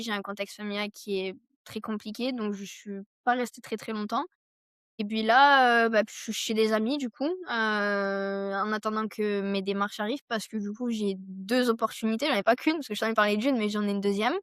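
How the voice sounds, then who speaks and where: A woman's voice: medium pitch, steady tone, brisk, fairly bright tone, no echo, clean studio sound. A young adult woman from France, a podcast guest and entrepreneur, talking things through in long winding runs with many fillers. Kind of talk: casual conversational interview.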